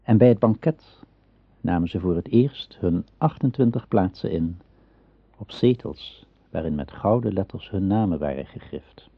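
A man narrating an Arthurian legend in a radio play, measured and solemn like a storybook teller. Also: deep voice, lively intonation, vocal fry, husky, no room sound, exaggerated voice. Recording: dull old radio drama recording.

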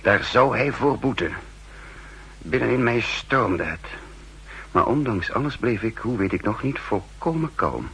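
An actor playing an older, wry and condescending spy chief who also narrates in the first person, in 1960s radio drama style. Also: male voice, lowish voice, big pitch swings, a little slow, bright, forceful tone, breathy edge, heavily acted. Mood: brooding, confiding. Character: aging spy chief and cryptographer, wry, secretly scheming. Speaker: narrator and lead character. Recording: humming, noisy 1960s radio recording.

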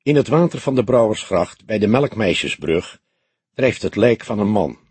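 A dutiful male police desk commander played by a male reader in an audiobook. He reports matter-of-factly, with a very breathy, raspy voice and lively intonation. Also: fairly low pitch, normal speed, no room sound. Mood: reporting. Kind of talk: audiobook character voice.

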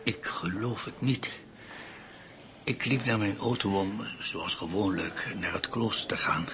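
Radio drama role, male actor: shaken priest, slow and unsure, careful delivery, audible background noise. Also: lowish voice, natural voice. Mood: hesitant. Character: shaken, hesitant priest recovering from a robbery attack.

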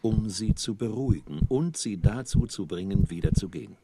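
A man's voice: lowish voice, animated, normal speed, very noisy. An actor playing a subdued male narrator who reports rumours in a radio play.

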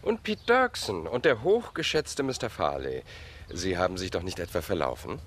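An actor playing an urbane, ironic gentleman lawyer in a German radio drama, suave and mock-courteous. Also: deep voice, sing-song, moderate pace. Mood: mock-polite.

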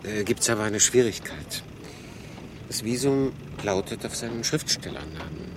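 An actor playing a wry, guarded refugee telling his story in a radio drama, in measured, laconic phrases. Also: lowish voice, lively intonation, background noise, lightly acted. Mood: probing, guarded. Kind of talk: radio drama narration and dialogue.

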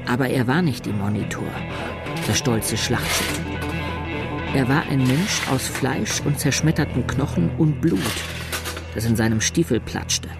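Male radio drama narrator, mid-pitched, dramatic scripted storytelling over loud guitar music. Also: expressive, unhurried, gravelly.

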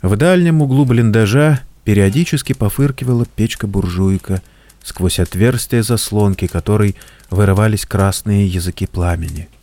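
A man narrating an audio drama in a measured, deliberate storytelling manner. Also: on the low side, a little mellow. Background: faint music.

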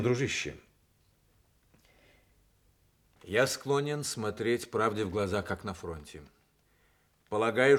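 Older ailing male war veteran, lowish voice, jovial yet stoic, plain-spoken, Soviet radio drama. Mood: frank, resigned.

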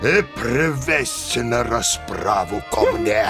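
An actor playing an indignant, pompous old village headman in a theatrical radio play, sputtering between groans and shouts, with music behind. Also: mid-range voice, lively intonation, slow. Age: older. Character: blustering, indignant old village headman, a grey-haired womanizer. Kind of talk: theatrical radio-play acting of Russian classic. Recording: studio drama with music behind.

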